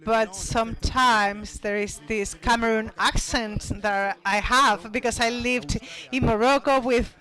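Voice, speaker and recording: Brief: conference presenter, very slow and deliberate, halting with restarts, mid-pitched voice. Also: steady tone, bright, forceful tone, poor audio. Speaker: panel speaker presenting a study.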